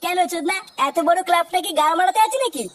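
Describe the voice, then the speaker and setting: A performer with a pitched-up voice plays a grumpy, foul-mouthed married man in a crude comedy cartoon. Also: fast, a bit bright. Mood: grumbling. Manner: coarse, swearing, complaining.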